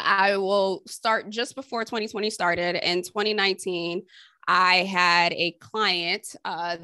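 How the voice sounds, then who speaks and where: Interviewee: woman, bright voice, reflective and circling, dry close mic. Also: lowish voice, no echo. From Haiti, raised in Connecticut. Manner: thoughtful, rambling, many fillers and restarts. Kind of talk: casual oral history interview.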